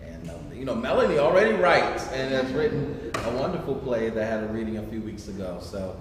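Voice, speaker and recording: A man's voice: medium pitch, sing-song, brisk. A man hosting an informal post-show question and answer session at a staged reading. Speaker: host of a staged reading discussion. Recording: echoey noisy hall, distant mic.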